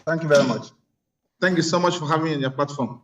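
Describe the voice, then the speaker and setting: A man's voice: on the high side, fast, distant mic, a little hiss or hum. A man with a Nigerian accent speaking formally as a lawyer guest in a news interview. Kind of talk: formal legal explanation.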